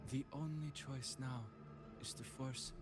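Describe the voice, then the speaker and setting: A fading spirit from a video game, acted by a man, solemn and weary. He speaks very slowly in halting, portentous phrases, with urgency under them. Clear music plays behind him. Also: mid-range voice, little pitch movement, close to an everyday voice. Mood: solemn, urgent. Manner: slow, halting, portentous delivery. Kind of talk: dramatic game voice acting.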